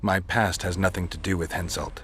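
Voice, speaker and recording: Low-voiced, terse monster hunter. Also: male voice, brisk. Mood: guarded. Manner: terse, flat, unbothered. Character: gruff, laconic, world-weary male monster-hunting witcher. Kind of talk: video game dialogue acting.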